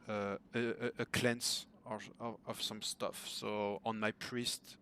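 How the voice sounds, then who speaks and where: Hesitant hoarse man, slow and low. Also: level tone, noisy background. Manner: hesitant, many fillers and restarts. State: hoarse, losing his voice. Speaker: gamer asking for coaching. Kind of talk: casual recorded message to a coach. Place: home room.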